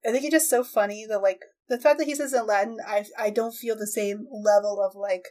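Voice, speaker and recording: A woman hosting a podcast in casual conversation, thinking aloud and softening her opinions as she goes. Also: mid-range voice, fairly even intonation, brisk. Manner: tentative, hedging, thinking aloud. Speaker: podcast host. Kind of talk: casual chat about anime.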